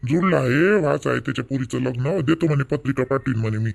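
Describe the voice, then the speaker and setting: A man's voice: medium pitch, fast, vocal fry. A man voicing an irritable middle-aged village father in a cartoon family drama, arguing in colloquial Varhadi Marathi. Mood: matter-of-fact. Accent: Varhadi Marathi from Vidarbha. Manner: colloquial, argumentative.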